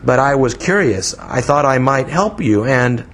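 A man acting out a stiff, pious man from a storybook. He talks in a curt, formal and earnest way, slightly awkward, with a lively rise and fall. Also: mid-range voice, a little slow, pitched above the performer's own voice. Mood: awkward, apologetic.

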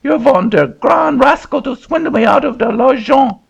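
A man voicing an excitable old Frenchman with a put-on French accent and broken English, in a storybook reading. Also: very high voice, moderate pace, creaky, breathy edge, muffled recording, voice pushed up, heavily acted. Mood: indignant. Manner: indignant, sputtering, broken English. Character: excitable old Frenchman, swindled land buyer.

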